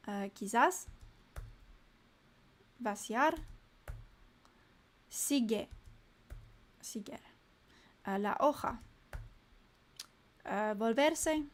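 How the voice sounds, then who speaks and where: A young woman on a casual live stream, reading vocabulary words aloud one by one, muttering asides to herself and chatting cheerfully with viewers. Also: fairly high pitch. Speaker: language learner streaming live.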